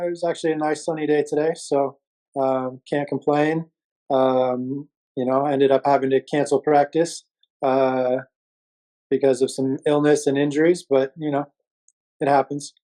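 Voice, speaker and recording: A man's voice: fairly high pitch, darkish tone, rough recording. A man speaking as an interview guest, a coach telling his story in a casual, laid-back and hesitant way.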